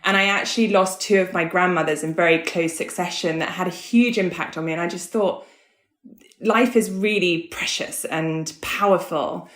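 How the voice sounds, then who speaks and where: A woman's voice: on the low side, steady tone, normal speed, a bit bright. A woman in her thirties or forties who grew up in Hong Kong, telling her story candidly and casually as a podcast guest. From born in the US, grew up in Hong Kong. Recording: roomy, echoey sound.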